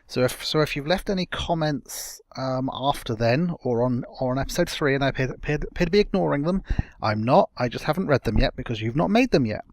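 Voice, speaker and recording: A young man hosting a casual gameplay video, chatting and rambling through his progress with a slightly croaky voice. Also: medium pitch, lively intonation, fairly quick, no echo. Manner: rambling, self-correcting, chatty. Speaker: let's play host.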